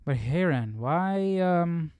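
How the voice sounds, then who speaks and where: Slow, smooth-voiced man musing aloud. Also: a little high, animated, darkish tone, no room sound. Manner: questioning, musing to himself. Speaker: host of a live Bible study. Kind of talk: casual reading and thinking aloud.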